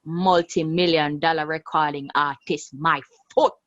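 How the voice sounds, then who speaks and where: A woman's voice: low voice, fairly even intonation, measured pace, a bit bright, dry recording, muffled recording, soft background music. A woman playing a bitter, angry grown daughter in a radio drama, mocking and confrontational. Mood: furious.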